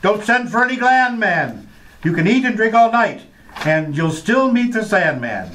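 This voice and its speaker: A hammy poet, really high voice, swoopy and theatrical, old radio comedy. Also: male voice, big pitch swings, measured pace, fairly bright tone, heavily acted, rough recording. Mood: declaiming, jaunty. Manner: theatrical, declaiming rhymes. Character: hammy, grandiose doggerel poet, eager and florid.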